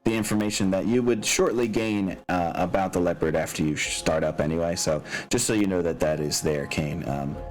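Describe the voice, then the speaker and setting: A man's voice: low voice, a little fast, hardly any pauses, a little mellow. A man acting as game master, explaining tabletop mechanics casually and thinking aloud with some hesitation. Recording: music playing behind. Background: background music.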